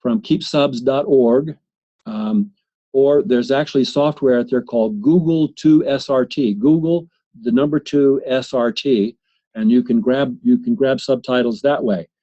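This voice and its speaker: A man presenting a software demo in a webinar, talking haltingly with many fillers and restarts. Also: mid-range voice. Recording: slightly muffled webinar audio.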